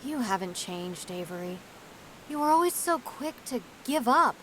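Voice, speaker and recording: An actress playing a strong-willed, idealistic scientist in an audio drama, firm and pointed, with a wounded edge. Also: female voice, a little high, expressive, normal speed, a little hiss or hum, natural voice, poor audio. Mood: urgent, reproachful.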